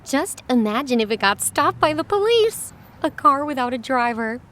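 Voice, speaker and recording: A woman's voice: high-pitched, moderate pace. A woman voicing an eager, outspoken young girl adventurer who blurts things out in a children's audio drama. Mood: chatty, flustered. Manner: excitable, blurting.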